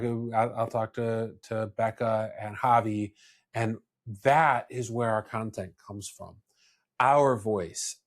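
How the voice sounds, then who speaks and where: A man hosting a podcast, talking casually and explaining with personal examples, often repeating words as he goes. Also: mid-range voice. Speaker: podcast host.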